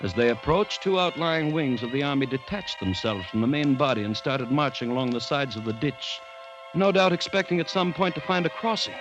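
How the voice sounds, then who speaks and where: An actor playing a cautious, alarmed government commissioner, narrating a jungle ordeal in formal, suspenseful old-time radio drama style. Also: male voice, medium pitch, lively intonation, brisk, dull audio, close to an everyday voice. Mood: grave dread. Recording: vintage radio recording with background music.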